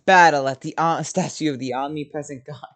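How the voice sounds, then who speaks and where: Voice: mid-range voice, fairly even intonation, a little fast, a bit bright. Casual gamer commenting over play. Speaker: gaming streamer.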